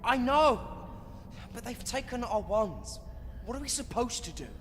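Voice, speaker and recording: A man's voice: very high-pitched, animated, unhurried, fairly bright tone, a little hiss or hum, exaggerated voice. An actor playing a brave, urgent teenage boy wizard in a game, with a British accent. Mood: anxious, confused.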